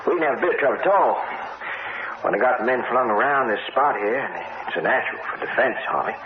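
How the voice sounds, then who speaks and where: An actor playing a steady, folksy, matter-of-fact fighter who is second in command in a 1950s radio drama. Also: male voice, on the low side, lightly acted. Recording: muffled, noisy, echoey 1950s radio recording.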